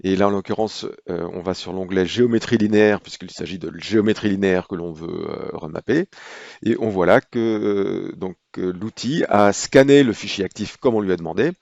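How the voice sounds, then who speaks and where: A man with a very low, gravelly voice explains a software procedure step by step, unhurried and often hesitating. The recording is dry and close, with a slightly dull, lo-fi sound. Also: no echo. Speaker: software trainer. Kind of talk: screen-recorded software tutorial.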